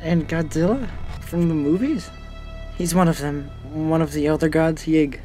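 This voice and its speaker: A man's voice: fairly high pitch, moderate pace, mellow, faint music behind, lightly acted. A man playing a paranoid, intense teenage boy in an audio drama, with a cynical, conspiratorial manner. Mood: urgent, secretive.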